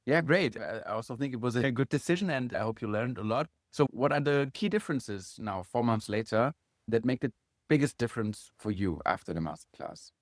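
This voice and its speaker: A man with a medium-pitched voice conducts a casual interview. He affirms what he hears and phrases his questions and thoughts a little haltingly, repeating words as he goes. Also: lively intonation, dry recording, clean studio sound. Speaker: interviewer and music production teacher.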